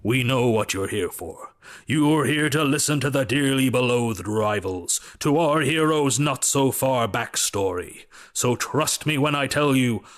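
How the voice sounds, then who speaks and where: A man's voice: medium pitch, fairly bright tone, close to an everyday voice. A man playing a self-aware comic narrator, addressing the listener in an audio drama.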